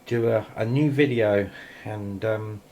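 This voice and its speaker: A man giving a casual, ad-libbed video tour in a hesitant, unhurried, rambling way, recorded on a handheld camera in a small room. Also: on the low side, a little mellow. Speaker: amateur radio operator filming shack tour. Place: small indoor radio room. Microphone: handheld camera mic. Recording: noisy handheld camera sound.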